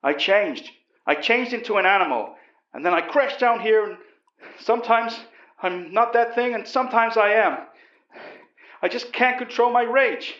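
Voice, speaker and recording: A man's voice: high voice, normal speed, pitched above the performer's own voice, lightly acted. A man voicing a tired, angry and fearful American astronaut in a horror story, emotional and defiant. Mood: anguished rage. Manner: warning, emotional. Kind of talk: storytelling character voice. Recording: dull, roomy recording.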